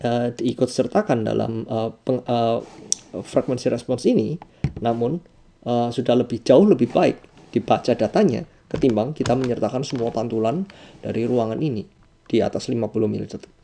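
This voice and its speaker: A very dark, muted male voice with a gravelly creak and an Indonesian accent. He teaches step by step in the style of a screen tutorial. His delivery is hesitant, often repeating a term to make it clear. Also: mid-range voice, moderate pace, slight background noise. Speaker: audio engineering instructor. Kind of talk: explanatory software tutorial narration.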